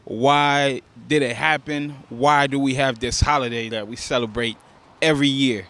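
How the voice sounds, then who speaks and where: A man's voice: medium pitch, fairly even intonation, moderate pace, pushed and bright. A man interviewing people on the street, casually repeating "okay" and restarting his questions.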